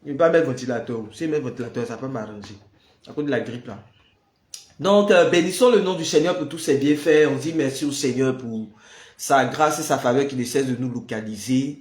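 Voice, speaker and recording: A man's voice: mid-range voice, roomy sound. A man with the flu, leading a prayer livestream and chatting casually with viewers, greeting them by name. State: has the flu. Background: noise of building work.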